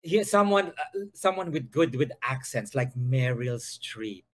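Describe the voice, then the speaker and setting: A man's voice: medium pitch, lively intonation, a little slow, a bit bright. A middle-aged man with a Filipino accent, a comedian guest joking playfully on a video call. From Philippines.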